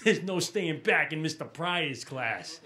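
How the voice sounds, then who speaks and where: A middle-aged man doing an energetic, punchy stand-up set on a small TV studio stage, into a handheld mic. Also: on the high side, unhurried. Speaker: stand-up comedian. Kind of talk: stand-up routine.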